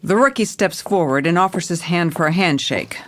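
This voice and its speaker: A woman's voice: lowish voice, expressive, fairly quick, fairly bright tone. A woman playing a jaded, hard-boiled police commissioner, narrating a noir audio drama in a dry, world-weary, stern way.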